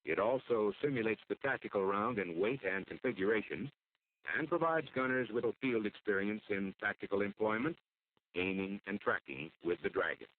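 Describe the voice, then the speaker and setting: Low-voiced American training film narrator, male, tinny sound. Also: normal speed. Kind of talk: scripted instructional military film narration. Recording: muffled phone-like old film soundtrack.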